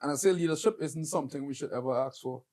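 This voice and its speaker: A man's voice: medium pitch, quick pace, darkish tone. A man hosting an interview, asking questions in a thoughtful, reflective way. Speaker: interview host. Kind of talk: casual interview chat.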